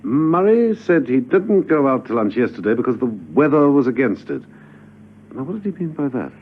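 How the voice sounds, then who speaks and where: An actor in a radio drama playing a suave, nonchalant gentleman agent who muses hesitantly and probes with sly politeness. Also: medium pitch, big pitch swings, moderate pace, slightly rough. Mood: musing, probing. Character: suave, nonchalant, quietly probing male gentleman secret agent. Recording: dull hissy old radio recording.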